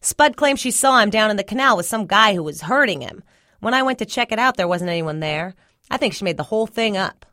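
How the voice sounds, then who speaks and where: Young rural brother for audiobook, played by a woman: high, dismissive, tattletale, skeptical. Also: animated, brisk, pushed and bright, dry recording, clean studio sound. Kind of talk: audiobook character dialogue.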